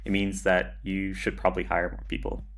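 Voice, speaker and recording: Male interview guest, low and flat in pitch, measured, hesitant, matter-of-fact, conversational. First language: English. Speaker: podcast guest, agency founder.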